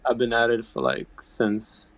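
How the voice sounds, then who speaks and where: A man's voice: medium pitch, animated, measured pace, a bit bright, dry recording. A man who is a guest content creator, chatting in a laid-back, casual way with easy slang. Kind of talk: casual podcast chat.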